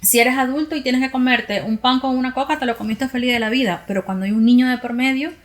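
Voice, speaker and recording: A woman with a Cuban accent, a podcast host thinking out loud in a relaxed conversation with her guests. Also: high voice, fast talker. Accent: Cuban Spanish. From Cuba. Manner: reflective, speaks in long explanatory runs. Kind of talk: casual conversation.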